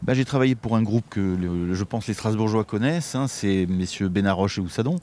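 A man's voice: lowish voice, fairly quick, a little mellow. A man in his fifties, a guest in an interview, talking casually with many fillers and restarts. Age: 50s. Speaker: interview guest, Masonic official. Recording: faint background noise.

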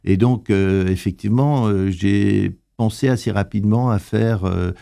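An older man answering interview questions, hesitant and full of pauses and fillers. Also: lowish voice, vocal fry, mellow. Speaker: interviewee, translator.

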